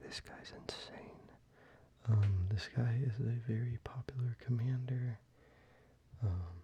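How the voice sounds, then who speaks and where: Gravelly soft-whispering man. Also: on the low side, highs cut off. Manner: soft-spoken, mostly whispered, casual with fillers. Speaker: ASMR creator. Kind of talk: soft-spoken ASMR card showcase.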